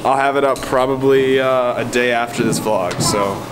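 A man's voice: medium pitch, moderate pace. A young man chatting casually and enthusiastically to camera as a vlogger, in an informal way. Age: young adult. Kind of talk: casual vlog chat to camera. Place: ski resort outdoors, restaurant and parked car. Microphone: camera at arm's length. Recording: clear background noise, handheld vlog camera.

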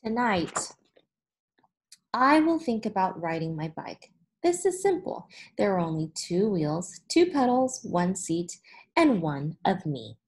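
Female teacher, thirties, medium pitch, very unhurried children's read-aloud with careful, expressive emphasis. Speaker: elementary school teacher. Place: small indoor room with whiteboard.

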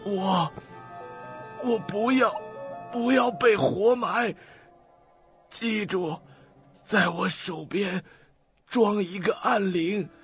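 A frail old man on his deathbed, pleads in a radio drama. His voice is high-pitched and extremely slow, broken into weak, halting phrases. The recording is dull, with faint music. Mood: desperate, insistent. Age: elderly. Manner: halting, weak, laboured speech. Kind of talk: Mandarin radio drama acting.

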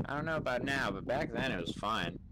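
A man's voice: mid-range voice, lively intonation, a little fast, close to an everyday voice. A man playing an easygoing, laconic sniper in his late twenties, answering hesitantly in an improvised game roleplay. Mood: laid-back. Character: easygoing, laconic 27-year-old male sci-fi sniper. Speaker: Star Wars roleplay player. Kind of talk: improvised in-character gaming chat. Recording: noisy gaming voice chat.

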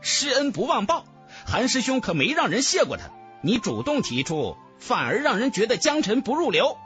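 Man acting a highly animated, sharp-tongued young woman student. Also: moderate pace, soft background music, exaggerated voice. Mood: confrontational.

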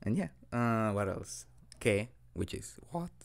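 A man's voice: on the low side, expressive, takes it slowly, gravelly. A young man from Mexico with a Mexican accent, a native Spanish speaker, improvising a dorky, hesitant roleplay as a boyfriend giving a casual language lesson. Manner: giggly, dorky, hesitant, self-deprecating. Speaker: audio roleplay creator playing a boyfriend teaching Spanish.

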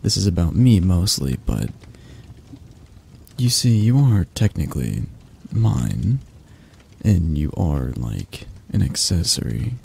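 Casting: male actor as a domineering mafia boss for ASMR roleplay, dark husky voice, flirty and patronizing. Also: low-pitched, very animated, slow, heavily acted. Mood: possessive, condescending. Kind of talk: intimate ASMR roleplay acting.

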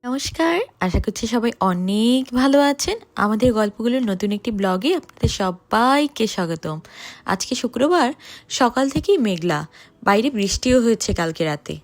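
A woman's voice: a little high, lively intonation, moderate pace. A woman narrating a family vlog in a chatty voice-over, speaking directly to viewers.

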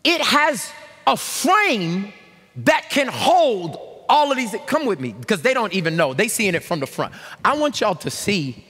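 A man in his thirties preaching a sermon from a large church stage, animated and shouting call-and-response lines to the congregation. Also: high voice, measured pace, slightly rough. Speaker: pastor. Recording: long reverb of a large hall.

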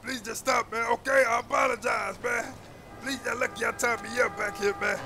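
A man playing a captured young gang member who begs and yells in a frantic voice. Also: very high voice, steady tone, fairly quick, music in the background, a crowd in the background. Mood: pleading. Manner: pleading, frantic, yelling.

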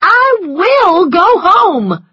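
A woman's voice: high voice, sing-song, slow, a bit bright, dry recording, muffled recording, pitched above the performer's own voice, heavily acted. A woman voicing a headstrong, anxious young woman in a story, urgent, bossy and emotional. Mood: defiant.

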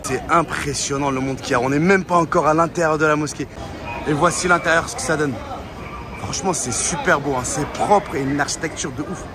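A young man, narrating a travel vlog excitedly into a phone held at arm's length. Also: a little high. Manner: excited, fast, chatty. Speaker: vlogger. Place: outdoors and in a large crowded mosque. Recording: loud crowd and street noise.

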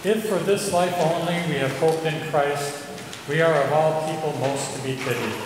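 Man, middle-aged, scripture reader, deliberate, echoey sanctuary, background hiss. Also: on the high side. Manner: measured, deliberate reading. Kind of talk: reading scripture aloud. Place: large church sanctuary. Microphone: lectern mic. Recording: echoey church hall with noise.

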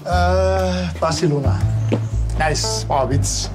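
Wry, higher-voiced policeman. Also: slow pace, music in the background. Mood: dry. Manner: dry joking. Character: wry, laid-back male police officer.

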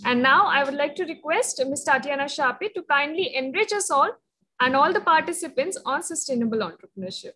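A woman's voice: sits high, expressive, brisk, pushed and bright. A young woman moderating a webinar, formally reading out a guest speaker's credentials over a video call from a college office. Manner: reads a long list of credentials steadily. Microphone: video call webcam.

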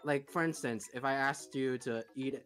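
A man's voice: mid-range voice, moderate pace, fairly bright tone, soft background music. A man in his thirties chatting casually as a guest on a stream, teasing the others and rambling with lots of fillers and mock exasperation. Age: 30s. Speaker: guest interviewer on a live stream. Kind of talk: casual chat over a voice call.

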